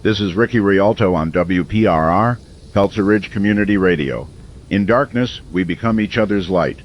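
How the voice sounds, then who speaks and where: An actor playing a steady, reassuring community radio host broadcasting through a crisis in an audio drama. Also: male voice, lowish voice, moderate pace, lo-fi sound, slight background noise, close to an everyday voice. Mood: solemn, steady.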